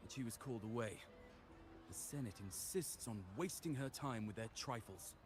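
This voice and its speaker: A man's voice: mid-range voice, animated, moderate pace, lightly acted. An actor voicing a courteous, formal male ceremonial official in a video game, polite and reassuring. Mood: formal, honoring. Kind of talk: scripted game character dialogue. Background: game music.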